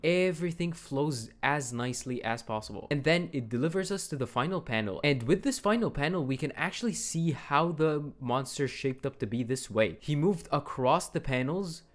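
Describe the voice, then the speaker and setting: A young man talks through a manga video essay with casual enthusiasm. His slangy delivery sits close on a handheld mic. The recording is from a small room indoors. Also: medium pitch. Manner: enthusiastic, casual slang, pointing things out on screen. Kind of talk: scripted video essay narration. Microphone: handheld dynamic mic close to mouth.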